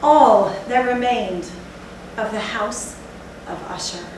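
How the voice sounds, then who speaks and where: A woman performs a shaken witness telling a gothic horror tale on stage. Her high voice is emphatic and suspenseful. She sounds distant from the microphone in a heavily reverberant room. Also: slow. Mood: awed horror. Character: shaken gothic storyteller recounting a horror, later giddy victim. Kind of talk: dramatic readers' theatre narration. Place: echoey indoor theatre, live stage reading. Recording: very echoey hall, distant mic, faint noise.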